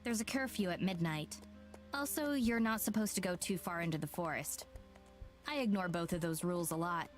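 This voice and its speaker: A woman's voice: fairly low pitch, normal speed, lightly acted. An actress playing a self-assured, easygoing woman guide in a visual novel, speaking in a matter-of-fact way. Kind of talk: scripted game dialogue. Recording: game audio under background music.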